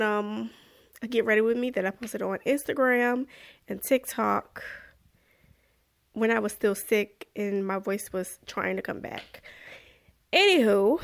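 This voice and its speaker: A young woman hosts her podcast in an easygoing, chatty way and slips into animated little exclamations. Her voice sits a touch high with a gravelly fry, and she still sounds a little congested. She takes her time, talking slowly. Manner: chatty, animated, self-deprecating, exclaims "woo, child". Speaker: podcast host. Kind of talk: casual podcast chat and story reading.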